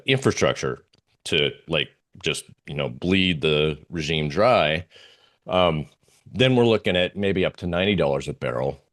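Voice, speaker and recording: A man giving unscripted analysis as an interview guest, talking through slides in a halting, rambling way with pauses and repeated phrases. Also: low voice, fairly bright tone. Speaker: interview guest, geopolitical oil trading analyst.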